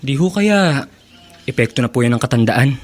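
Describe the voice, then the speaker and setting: Understanding young Filipino grandson for radio drama, acted by a man: polite, reasoning, average male pitch. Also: expressive, moderate pace, lightly acted. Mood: concerned, calm.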